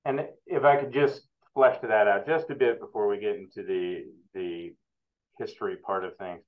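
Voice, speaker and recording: A man with a very low voice hosts a webinar interview, pausing often and filling gaps with ums and uhs. The sound is thin and phone-like, as if from a video call. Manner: halting, many fillers, relaxed. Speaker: interviewer, research analyst. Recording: tinny phone-like line.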